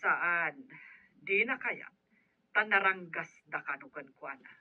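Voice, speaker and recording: A woman's voice: lowish voice, slow. A woman playing a sympathetic, concerned helper on the telephone in a radio drama. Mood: concerned, confiding. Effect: telephone filter. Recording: thin, dull telephone sound.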